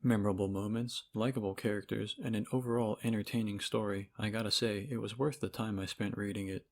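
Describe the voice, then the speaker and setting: A man's voice: on the low side, fairly even intonation, brisk, darkish tone, a little hiss or hum. A man giving casual, opinionated video essay commentary as a game reviewer, speaking informally with sarcasm and profanity. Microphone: cheap microphone.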